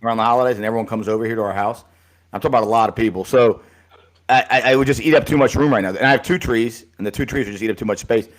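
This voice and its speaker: A man co-hosting a live high school football podcast, chatting casually and calling his co-host buddy. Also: very fast, rough recording. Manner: easygoing, chummy, calls co-host buddy.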